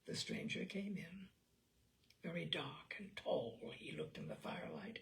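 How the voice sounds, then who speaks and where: A woman's voice: on the low side, huge pitch swings, measured pace, creaky, whispered. A woman narrating a literary short story aloud in a dramatic storytelling style.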